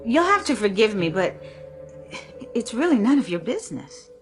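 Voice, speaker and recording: A woman's voice: a little high, sing-song, moderate pace, soft background music. An actress playing a polite but uneasy woman shopper in a radio drama, hesitant and questioning. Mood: indignant.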